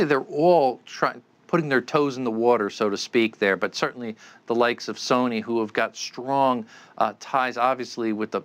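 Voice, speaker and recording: A man's voice: mid-range voice, a bit bright, clear, resonant tone. Man, expert commentator, clean resonant voice, unscripted interview answers, explanatory, stammered repeats.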